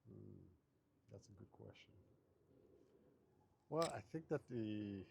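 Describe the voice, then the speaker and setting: A man's voice: lowish voice, lively intonation, takes it slowly, creaky, noisy background. A man from Haiti, in his thirties, answering interview questions in a thoughtful, hesitant way with many fillers and restarts.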